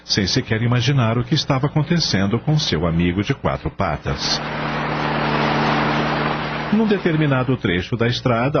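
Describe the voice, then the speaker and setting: A man narrating a radio novel as scripted dramatic storytelling. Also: on the low side. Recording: dull radio-like audio, music behind.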